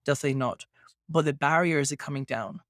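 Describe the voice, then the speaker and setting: Man, podcast host, slightly high pitch, reflective, rhetorical questions, dry recording. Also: quick pace, fairly bright tone, no echo. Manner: thoughtful, builds points with rhetorical questions. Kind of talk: casual podcast conversation.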